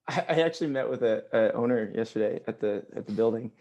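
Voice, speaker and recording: A man's voice: medium pitch, fairly quick, mellow, dull audio. A man in his twenties, a guest on a podcast, chatting casually with frequent laughs and lots of "like" fillers. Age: 20s. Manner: laughs often, many "like" fillers. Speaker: podcast guest, pro paintball player.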